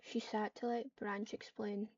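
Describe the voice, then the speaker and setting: A woman reading roleplay lines aloud as she plays, in a flat, subdued way. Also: mid-range voice, measured pace, slight background noise. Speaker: online roleplayer. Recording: muffled, distant mic with keyboard typing.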